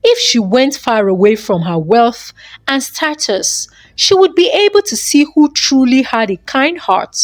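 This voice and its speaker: Woman, folktale narrator, very animated intonation, fairly high voice, dry close sound. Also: a little slow, dry recording. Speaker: storyteller.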